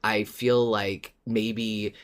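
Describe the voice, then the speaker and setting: A podcast co-host talks in a casual, thoughtful way. The phrasing is halting, as ideas are worked out mid-sentence. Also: mid-range voice, little pitch movement.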